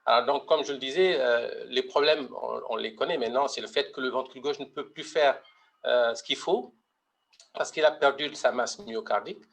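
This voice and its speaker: Middle-aged guy from mauritania giving a surgery webinar talk, gravelly voice, lots of ums and restarts. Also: mid-range voice, brisk, fairly bright tone. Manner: hesitant, many fillers and restarts. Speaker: pediatric heart surgeon presenting. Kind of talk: medical webinar lecture.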